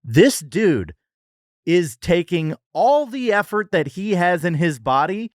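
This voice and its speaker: A man's voice: high voice, sing-song, slow, dry recording. Male podcast co-host for comic banter; jokey exaggerating storyteller, close dry recording. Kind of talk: casual comedic podcast banter.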